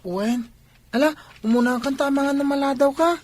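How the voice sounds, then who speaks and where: An actor with a Filipino accent playing an earnest, hard-up schoolchild in a radio drama, soft-spoken and plaintive. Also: sits high, normal speed, a little hiss or hum, close to an everyday voice. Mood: casual, dutiful.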